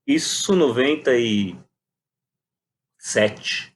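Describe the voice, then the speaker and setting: A man's voice: medium pitch, expressive, very slow. A man, telling his story reflectively and animatedly in a conversational interview. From Brazil. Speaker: guest, playwright and poet.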